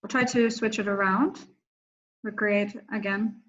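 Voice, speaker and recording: Casting: female online workshop host, informal and hesitant, middle pitch, muffled lo-fi call sound. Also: fairly even intonation, measured pace, fairly bright tone, a little hiss or hum. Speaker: online workshop host managing breakout rooms. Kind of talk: casual facilitation chat on a video call. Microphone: video call. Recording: dull lo-fi video call audio.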